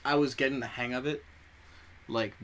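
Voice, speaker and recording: A man's voice: mid-range voice, steady tone, moderate pace, fairly bright tone. A young man whose first language is Spanish, co-hosting a podcast in casual chat and rambling through stories while he often corrects himself. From United States. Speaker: podcast co-host.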